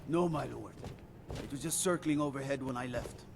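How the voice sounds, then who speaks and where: An actor playing a shaken young town guard in a fantasy video game, reporting in an earnest, urgent manner. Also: male voice, medium pitch, moderate pace, gravelly, lightly acted. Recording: game dialogue under loud background noise.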